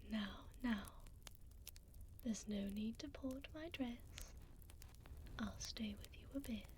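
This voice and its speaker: A woman's voice: fairly high pitch, takes it slowly, darkish tone, a little hiss or hum. A woman playing a regal, tender vampire duchess doting on a pup, whispering breathily into a close binaural mic in formal, old-fashioned phrasing for an ASMR roleplay. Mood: soft, soothing. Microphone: close binaural microphone.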